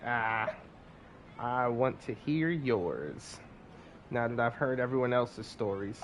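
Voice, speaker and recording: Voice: mid-range voice, takes it slowly, a little hiss or hum. A game streamer giving brief, amused, casual reactions while playing through a story. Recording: game music behind.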